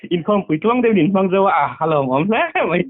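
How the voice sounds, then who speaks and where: A man with a fairly high voice chats in a casual, conversational style. He is heard through a telephone, so the sound is thin and narrow-band. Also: lively intonation, quick pace. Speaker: phone caller. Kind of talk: casual phone chat. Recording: tinny narrow phone line.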